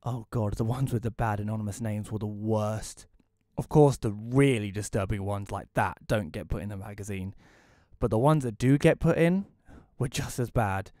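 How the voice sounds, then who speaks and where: A man playing a bitter, reclusive widower who narrates an audio play in a wry, deadpan way. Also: fairly low pitch, normal speed, no echo, studio quality, close to an everyday voice.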